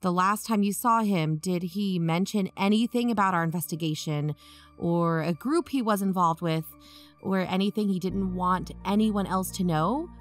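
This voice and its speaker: A woman's voice: fairly low pitch, normal speed, soft background music, natural voice. A woman playing an earnest young amateur investigator and podcaster, probing in a natural, matter-of-fact way. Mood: concerned, probing. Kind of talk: audio drama narration and natural phone dialogue.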